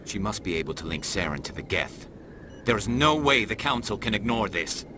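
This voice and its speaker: A man's voice: lowish voice, big pitch swings, fairly quick, noisy background. A man playing a determined, idealistic alien police investigator in a video game, earnest and intense.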